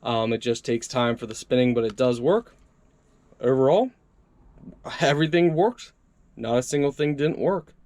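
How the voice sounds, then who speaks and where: A man's voice: mid-range voice, moderate pace, pauses often, a little mellow, no echo. A man doing a casual, upbeat hands-on product review, chatting to his viewers as he tries things out.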